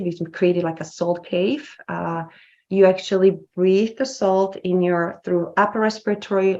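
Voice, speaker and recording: A woman's voice: medium pitch, darkish tone, highs cut off. A woman from Slovakia, answering interview questions as a wellness clinic founder, explaining at length and often repeating and restarting her words.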